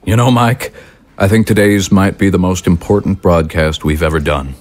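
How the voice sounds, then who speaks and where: Man acting a deep-voiced alarmist talk-show host. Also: fairly even intonation, normal speed, close to an everyday voice. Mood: self-important. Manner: grave, emphatic, pompous. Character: alarmist, self-important male political talk-show host. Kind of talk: broadcast monologue in audio drama.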